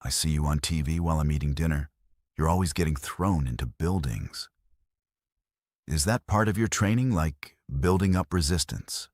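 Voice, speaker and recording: A man playing a bored, blunt, deadpan male hero in an audio story. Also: very deep voice, moderate pace, no room sound, studio quality. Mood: blunt, casual.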